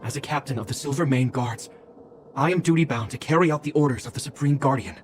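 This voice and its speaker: An actor playing a dutiful, stern young guard captain in a video game, speaking in a formal, commanding, earnest way. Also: male voice, medium pitch, fast, darkish tone, close to an everyday voice. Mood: solemn, conflicted. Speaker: military captain. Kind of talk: dramatic video game voice acting. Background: game music.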